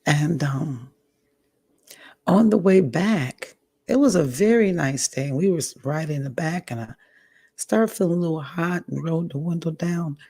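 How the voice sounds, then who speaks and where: A woman vlogger with a hoarse, raspy voice, giving a candid personal update in a slow, halting way and often clearing her throat. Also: sits low, dark tone. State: hoarse, recovering from a stroke. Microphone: audio only, home recording.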